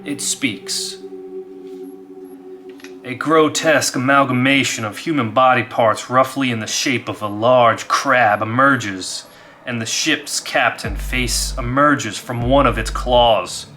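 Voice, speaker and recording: A man's voice: medium pitch, slow, pushed and bright, faint music behind. A man who hosts a gaming channel, talking to his audience and telling stories of solo play. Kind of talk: dramatized horror story narration and casual review.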